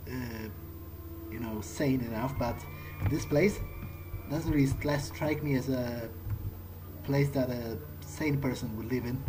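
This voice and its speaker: A man's voice: mid-range voice, rough recording. A man giving casual, improvised video game commentary in a hesitant, wry, thinking-aloud manner. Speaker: let's play streamer playing in character. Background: game music.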